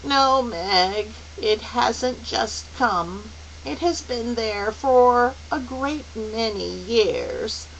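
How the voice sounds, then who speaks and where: A woman voicing a kindly, wise old celestial lady in a storybook, gentle and comforting with a lilting manner. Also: fairly high pitch, animated, very slow, vocal fry, highs cut off, voice pushed up, full character voice. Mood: gently explaining. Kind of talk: audiobook character voice. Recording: faint hiss.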